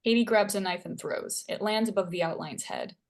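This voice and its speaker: A woman's voice: medium pitch, fairly quick, gravelly. A woman reading stage directions aloud as scripted narration at a screenplay table read.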